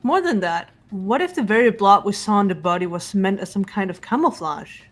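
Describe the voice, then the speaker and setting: A woman's voice: mid-range voice, animated, a little fast, lightly acted. A woman voicing a cool, analytical teenage girl detective in fan-made game dialogue, composed and assertive. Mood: probing. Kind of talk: fan-voiced visual novel game dialogue. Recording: music behind the voice.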